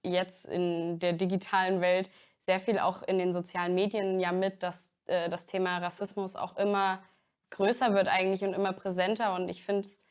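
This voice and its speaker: A woman's voice: fairly low pitch, level tone, moderate pace, clear, resonant tone. An eighteen-year-old woman musician, talking earnestly and casually about her song. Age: teens. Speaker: musician in a duo. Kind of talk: interview statement in a film.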